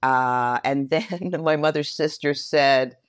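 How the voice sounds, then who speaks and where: Low-voiced woman telling personal stories. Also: slow pace, clear, resonant tone, no room sound, studio quality. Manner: expressive, emphatic repetition. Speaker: interview guest. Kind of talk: personal storytelling in conversation.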